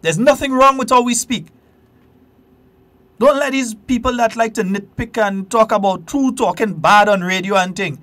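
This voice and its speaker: A man's voice: high-pitched, moderate pace, a bit bright. A Grenadian man hosting a call-in radio talk show, talking with passion and emphasis. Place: radio studio.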